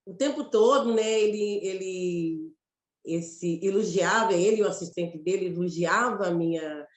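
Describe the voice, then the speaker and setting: A woman from Mato Grosso with a Mato Grosso Brazilian accent, an actress telling her story in an interview, reflective and halting, often restarting phrases. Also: fairly low pitch, reverberant. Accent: Mato Grosso Brazilian Portuguese. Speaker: actress and guest.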